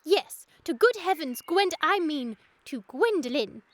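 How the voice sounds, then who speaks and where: A sweet but prim young Victorian lady, easily indignant. Her voice is very high, with theatrical, careful diction suited to a radio comedy. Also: expressive, a little slow. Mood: prim, suspicious. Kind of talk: scripted radio comedy acting.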